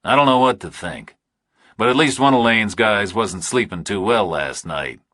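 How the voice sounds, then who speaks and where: A man's voice: fairly low pitch, animated, normal speed, a bit bright, dry recording. A man playing a tough ex-military investigator, clipped, dry and determined, in a thriller audiobook. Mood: guarded.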